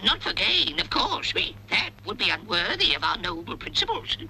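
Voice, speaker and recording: High, pompous tyrant pig. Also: male voice, wildly swooping pitch, normal speed, sharp tone, roomy sound, big-room sound, slight background noise, exaggerated voice, rough recording. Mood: smug, unctuous. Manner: declamatory, oily, accusing. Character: pompous tyrant pig leader addressing farm animals.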